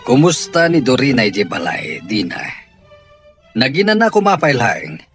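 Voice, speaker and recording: A man's voice: mid-range voice, wildly swooping pitch, normal speed, music in the background. A man with an Ilocano Filipino accent playing a stern, worried father in an audio soap drama. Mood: concerned.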